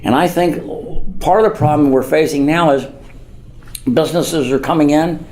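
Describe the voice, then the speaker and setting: A man's voice: fairly high pitch, normal speed, darkish tone, rough recording. An older man from the United States giving an informal public speech as a mayor, rambling and earnest, repeating phrases for emphasis. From Norwood, United States.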